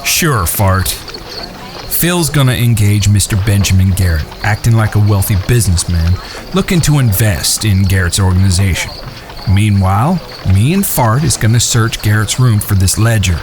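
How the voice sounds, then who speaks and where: An actor in an audio drama playing a simple, polite younger outlaw brother in a plain-spoken country manner. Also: male voice, fairly low pitch, animated, normal speed, over music, lightly acted. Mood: cocky.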